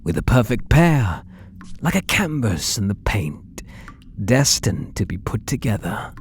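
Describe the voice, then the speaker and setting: A British man playing an obsessive, possessive artist in love, speaking softly and breathily with tender menace in an ASMR roleplay. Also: on the low side, sing-song, a little hiss or hum. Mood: possessive, menacing. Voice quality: soft and breathy, often dropping to whispers. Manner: tender, slow, menacingly intimate.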